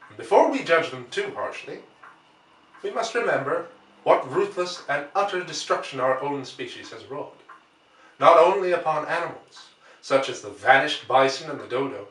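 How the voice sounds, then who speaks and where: A man reading a classic novel aloud as an audiobook narrator, with deliberate phrasing and dramatic emphasis. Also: a little high, lively intonation, a bit bright. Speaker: audiobook reader. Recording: roomy, echoey, distant from mic.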